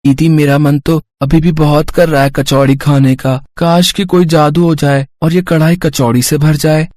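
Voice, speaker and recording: A man's voice: fairly high pitch, steady tone, moderate pace, darkish tone, natural voice. A man voicing a young, food-loving little brother in a moral story, eager and wishful. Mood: longing. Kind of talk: moral story character acting.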